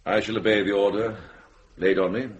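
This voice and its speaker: An actor playing a wise, wry older monk in a radio drama. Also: male voice, on the low side, fairly even intonation, fairly quick, breathy edge. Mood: suppressed anger. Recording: noisy vintage radio drama recording.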